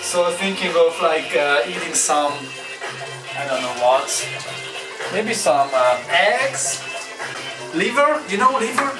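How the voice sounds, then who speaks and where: Slow, laid-back Hungarian man swearing casually. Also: on the high side, animated, over music, low-quality recording. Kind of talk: casual vlog chat in English. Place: outdoors in the cold.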